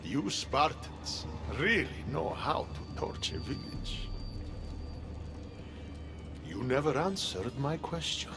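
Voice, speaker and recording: Taunting underworld villain, slow, animated intonation, sardonic, sly, music behind, video game. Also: male voice, medium pitch. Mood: mocking. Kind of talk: video game cutscene acting.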